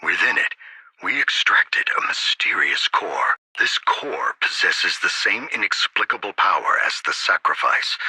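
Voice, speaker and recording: An authoritative city mayor for a video game. He is earnest and deliberate, with a very bright, projected, low voice that rasps a little. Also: dry recording, heavily acted, low-quality recording. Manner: formal, deliberate.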